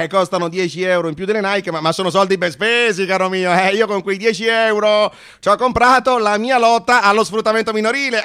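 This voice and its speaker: Cattolico di sinistra agitato, voce acuta. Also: male voice, a little high. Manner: stammering, repeating phrases, swinging from muttering to shouting. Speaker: narrator-actor of a satirical monologue. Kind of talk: theatrical comic monologue for radio.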